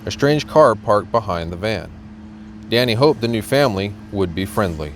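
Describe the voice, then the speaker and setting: A man's voice: fairly low pitch, expressive, normal speed, slight background noise. A middle-aged American man reading a children's storybook aloud as a guest reader. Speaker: guest reader, police officer.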